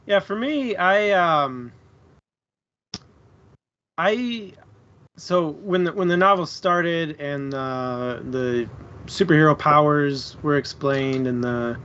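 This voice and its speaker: A man's voice: fairly high pitch, fairly bright tone, clear, resonant tone. A man co-hosting a book club stream, speaking hesitantly as he works out his thoughts. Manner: slow, hesitant, thinks aloud. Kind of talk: casual book discussion. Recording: lo-fi stream audio with faint background hiss.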